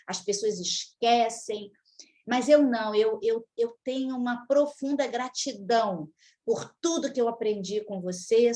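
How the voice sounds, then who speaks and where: A middle-aged woman opening a lecture as guest speaker, effusive and emotional, repeating herself. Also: on the high side.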